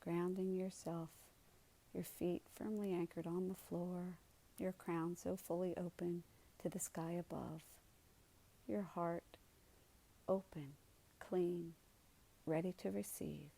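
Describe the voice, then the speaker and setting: Slow, mellow woman guiding a meditation. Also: lowish voice, flat delivery, creaky, low-quality recording. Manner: soothing, unhurried instruction with gentle pauses. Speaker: guided meditation leader. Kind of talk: guided meditation.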